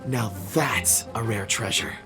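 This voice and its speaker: A man's voice: lowish voice, takes it slowly. A man voicing a cheerful, eccentric rock expert in a video game, with eloquent, theatrical delivery. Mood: enthusiastic. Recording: background music under the voice.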